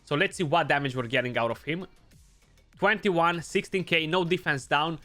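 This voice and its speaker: A man's voice: fairly high pitch, normal speed, sharp tone, faint music behind. A young man with a Romanian accent giving casual gameplay commentary, thinking aloud and often adding "you know". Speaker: gaming YouTuber.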